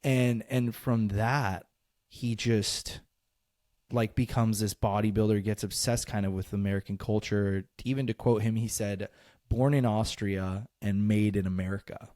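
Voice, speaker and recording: A man's voice: lowish voice, fairly even intonation, unhurried, warm tone, dry recording. Young guy rambling on a podcast, lots of likes and ums, dry close sound. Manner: loose and rambling, lots of fillers and laughs. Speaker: podcast co-host. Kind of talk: casual chat.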